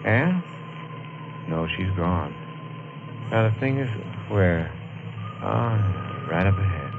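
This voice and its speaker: Haunted killer on noisy old radio. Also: male voice, low voice, expressive, takes it slowly, phone-quality sound, full character voice. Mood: drained resignation. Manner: overwrought, intense dramatic delivery. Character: haunted, guilt-ridden man who killed his wife, unraveling. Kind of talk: 1950s radio thriller acting, first-person narration.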